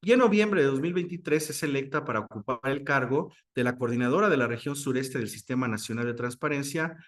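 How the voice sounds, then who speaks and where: A man's voice: medium pitch, fairly quick. Courteous, formal Mexican man hosting. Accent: Mexican Spanish. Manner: courteous and ceremonious, with warm formal address. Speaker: interview host. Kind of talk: formal interview, reading a guest introduction.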